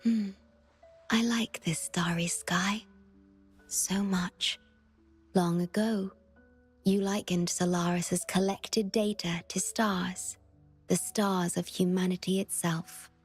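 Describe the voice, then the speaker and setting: Gentle young female game guardian: soft, solemn, unhurried, over music. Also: lowish voice, steady tone, breathy edge. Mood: tender, wistful. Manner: tender, measured, earnest. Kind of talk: video game voice acting.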